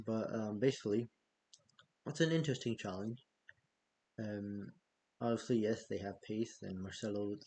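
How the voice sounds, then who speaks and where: A man's voice: on the low side, dark tone, a little hiss or hum. A man hosting a football fan vlog, chatting loosely with frequent fillers and self-corrections. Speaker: football fan channel host. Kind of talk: casual news vlog.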